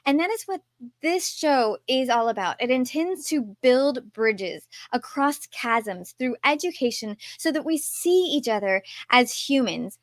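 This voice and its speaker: High-voiced Texan woman hosting a podcast. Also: a bit bright, dry recording, studio quality. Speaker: podcast host. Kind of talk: podcast interview.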